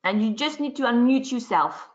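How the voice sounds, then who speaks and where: A woman moderating an online webinar, talking quickly with frequent fillers as she introduces the program and speakers. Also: fairly high pitch, lively intonation. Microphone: video call. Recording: dull lo-fi call audio.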